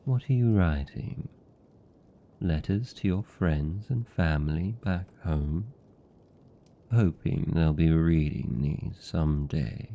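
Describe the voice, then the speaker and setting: A British man playing a seductive, possessive vampire captor in an intimate fantasy ASMR roleplay, teasing and doting in a dark, gravelly murmur. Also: sits low, dull audio, exaggerated voice. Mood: mock sympathy. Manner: slow, teasing, velvety endearments.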